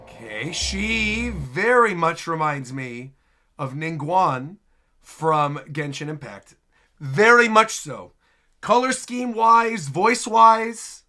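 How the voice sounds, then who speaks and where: High, bright-voiced male reaction host. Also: slow. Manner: repeats words for emphasis, excitable. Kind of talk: casual reaction commentary.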